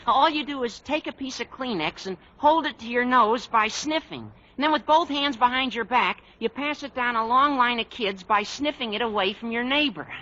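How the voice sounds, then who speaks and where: An actor playing a gawky, earnest teenage schoolboy with a squeaky adolescent voice in a 1940s radio comedy, naive and tactless. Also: male voice, normal speed, few pauses, bright, forceful tone. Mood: eager. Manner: eager, naive, overblown. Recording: muffled old radio recording, faint noise.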